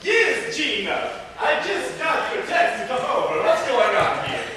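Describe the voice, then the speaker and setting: A man's voice: very high-pitched, sing-song, a little slow, bright, forceful tone, heavily acted. A man playing a gleeful, evil mad scientist boyfriend in an amateur comedy stage play, with melodramatic villain delivery. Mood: arriving, puzzled. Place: indoor performance room, mic far off. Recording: echoey hall, distant mic, faint noise.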